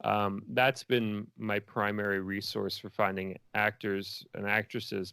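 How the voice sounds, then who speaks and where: A man's voice: fairly low pitch, fairly bright tone, no room sound. A man speaking casually as an interview guest, a filmmaker who often repeats phrases as he builds his thoughts.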